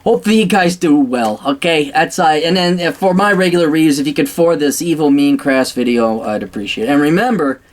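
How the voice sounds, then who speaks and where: A man's voice: a little high, a little fast, few pauses. A man talking straight to camera in a blunt, sarcastic rant, swearing freely and rambling with restarts. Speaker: vlogger giving blunt career advice. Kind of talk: casual monologue to camera.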